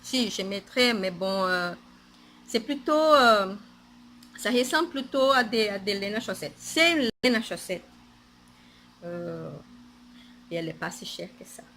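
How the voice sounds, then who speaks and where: Slow, bright-voiced Portuguese-accented woman. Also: mid-range voice, lively intonation. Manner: hesitant, many fillers and restarts, laughs often. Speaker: knitting podcaster. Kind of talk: casual knitting vlog.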